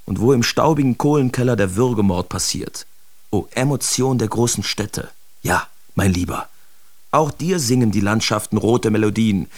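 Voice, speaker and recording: An actor playing a brash, cynical big-city painter declaiming his feverish letters in a dramatic radio reading. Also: fairly low pitch.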